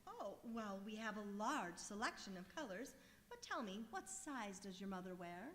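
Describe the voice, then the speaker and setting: A woman's voice: mid-range voice, big pitch swings, moderate pace, a bit bright. A woman playing a courteous, helpful lingerie saleswoman with polite sales patter in an old-time radio comedy. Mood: attentive. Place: live performance in a museum hall. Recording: reverberant hall, live stage recording.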